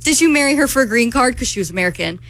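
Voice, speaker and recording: A woman's voice: on the high side, racing. Chatty young woman telling a dating story. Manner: animated, laughs often, acts out quotes. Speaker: radio show co-host. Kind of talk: casual chat, storytelling.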